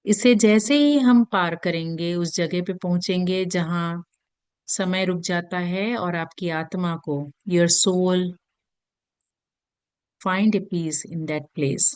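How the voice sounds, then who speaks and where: A woman with an Indian accent leads a guided meditation in a gentle, soothing manner with long pauses. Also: on the low side, clean tone, no echo. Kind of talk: guided meditation in Hindi mixed with English.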